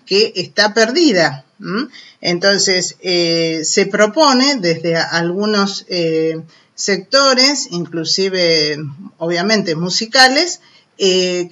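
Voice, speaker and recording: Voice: mid-range voice, sharp tone. An Argentine radio host with an explanatory, didactic way of talking, addressing young listeners directly. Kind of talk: children's radio programme, explanatory narration.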